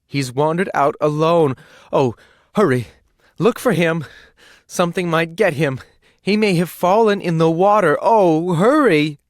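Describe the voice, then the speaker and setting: A man voicing a bossy, fussy cave housewife who scolds her husband in a raised, shrill voice. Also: expressive, dry recording, clean studio sound, close to an everyday voice. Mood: frantic. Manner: scolding, shrill, fast-changing fuss.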